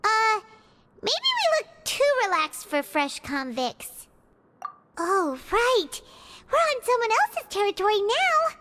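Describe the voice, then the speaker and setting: A woman voicing a tiny, excitable floating companion girl who talks about herself in the third person, in video game dialogue. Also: very high voice, slow, slight background noise, exaggerated voice. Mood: curious.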